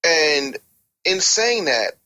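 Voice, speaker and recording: Interview guest, medium pitch, passionate and emphatic, drives points home with rhetorical questions. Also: big pitch swings, drawn-out words, pushed and bright. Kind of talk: passionate interview talk about the music business.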